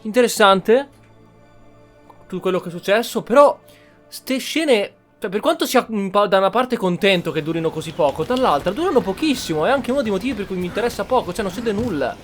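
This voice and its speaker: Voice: mid-range voice, animated, fast, faint music behind. A streamer giving casual, blunt, colloquial commentary over a game.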